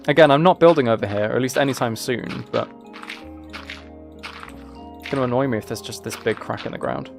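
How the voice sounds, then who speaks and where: A man's voice: mid-range voice, moderate pace, creaky, long pauses, music in the background. A man chatting casually on a game livestream, rambling and thinking aloud as he plays. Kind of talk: casual chat while playing a game.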